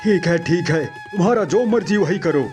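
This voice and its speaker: A man playing a kindly henpecked merchant in an animated moral story, placating and gently reproachful. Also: high-pitched, normal speed, faint music behind.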